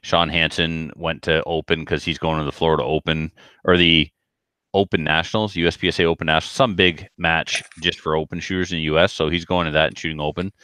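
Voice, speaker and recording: A man's voice: a bass voice, level tone, quick pace, bright, forceful tone, clear, resonant tone, dry recording. A man co-hosting a podcast, telling anecdotes casually with a self-deprecating manner. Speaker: podcast co-host and competitive shooter.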